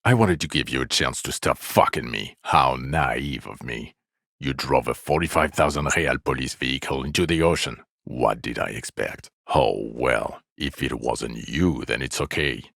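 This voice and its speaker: An actor playing an exasperated, foul-mouthed police partner in a video game, world-weary, sarcastic and contemptuous in a gravelly voice. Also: male voice, a bit bright, no room sound, clean studio sound, exaggerated voice. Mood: sarcastic, bitter. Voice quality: gravelly, low. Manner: sardonic, weary, contemptuous, deliberate scolding. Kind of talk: video game character voice acting.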